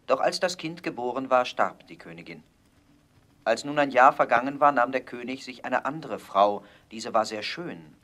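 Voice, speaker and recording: Casting: male storybook narrator, mid pitch, calm and measured. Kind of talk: fairy-tale audio play narration.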